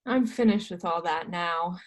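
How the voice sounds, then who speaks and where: A woman playing a guarded old male astronomer and churchman in a stage play reading, terse, wary and dismissive, with a husky voice. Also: lowish voice, unhurried. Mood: weary, guarded. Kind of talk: readers theatre performance of a history play.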